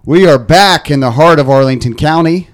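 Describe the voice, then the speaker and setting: A man hosting a casual podcast, a bit hoarse, rambling with lots of fillers and restarts. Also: a little high, moderate pace. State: slightly hoarse. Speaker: podcast host. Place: podcast studio.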